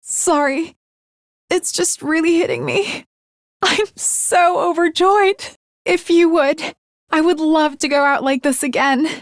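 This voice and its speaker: A woman's voice: high-pitched, expressive, slow, no room sound, studio quality. A woman voicing an earnest, lovestruck teenage girl swordswoman in an anime video game, with formal, archaic, dramatic diction. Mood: overjoyed, bashful.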